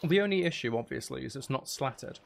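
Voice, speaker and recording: Creaky-voiced man rambling on a gaming livestream. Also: medium pitch, expressive, a little fast, slight background noise. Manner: rambling, thinking aloud, talking to viewers. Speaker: livestream host. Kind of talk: casual gaming livestream chat while building.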